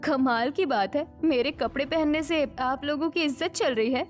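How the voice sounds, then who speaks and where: A woman plays a young modern daughter-in-law in an animated family story. Her voice is high and theatrical, full of sass and indignant defiance, with music behind it. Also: normal speed, close to an everyday voice. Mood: haughty, sassy. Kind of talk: scripted Hindi animated story dialogue.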